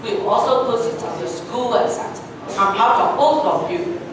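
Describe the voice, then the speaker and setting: A woman's voice: a little high, lively intonation, moderate pace, a crowd in the background, close to an everyday voice. A female reader playing a proud, encouraging school principal in an amateur reader's theatre performance. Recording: very echoey room, distant mic, clear noise.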